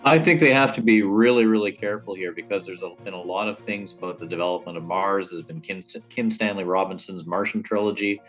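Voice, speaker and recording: A man giving his view in a casual roundtable discussion as a guest, pausing mid-phrase as he reasons. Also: sits low, faint music behind.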